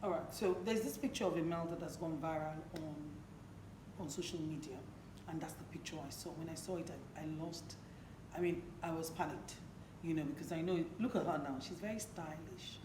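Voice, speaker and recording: A hoarse woman from Nigeria, hosting a talk show in a conversational interview style. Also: deep voice, a little fast. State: hoarse, partly lost voice. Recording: echoey room, clearly noisy background.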